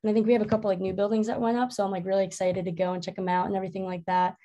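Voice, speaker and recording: A woman's voice: medium pitch, level tone, very fast, dark tone. A young woman in her twenties from New Jersey, an upbeat student talking on a video call panel. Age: 20s. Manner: upbeat, says "like" often. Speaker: orientation leader. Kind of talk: casual panel talk.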